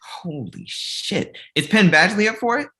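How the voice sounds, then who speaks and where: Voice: mid-range voice, animated, a little slow, sharp tone. An actor reading the part of a blunt, exasperated male talent manager in a comedy table read.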